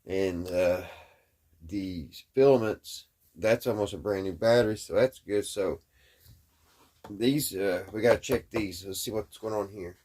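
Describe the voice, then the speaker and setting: Low-voiced man, folksy and halting. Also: darkish tone. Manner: folksy, halting, thinking aloud. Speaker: hobbyist presenter. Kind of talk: casual hands-on demonstration to camera.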